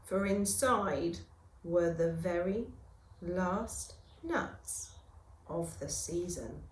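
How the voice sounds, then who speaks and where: A woman teacher reading a children's story aloud in a theatrical, expressive way with dramatic pauses. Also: on the low side, slight background noise. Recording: roomy, echoing room sound.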